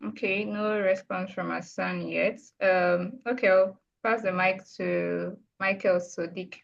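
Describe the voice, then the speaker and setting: A woman moderating a video call, briskly passing the mic to speakers. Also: mid-range voice, fairly even intonation, gravelly. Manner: brisk, organizing turns. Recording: muffled video call audio.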